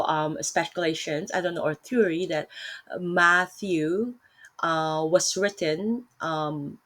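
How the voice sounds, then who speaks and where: A woman with a Filipino accent giving a casual Bible talk on her laptop, rambling and correcting herself with lots of fillers. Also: on the low side. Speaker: vlogger teaching Bible study.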